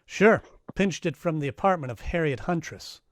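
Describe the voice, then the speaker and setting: A man's voice: on the high side, expressive, normal speed, no room sound, lightly acted. A man playing a wry, hard-boiled private detective in 1940s radio style, dry and wisecracking. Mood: wry, reflective. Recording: live-streamed radio play with music underneath.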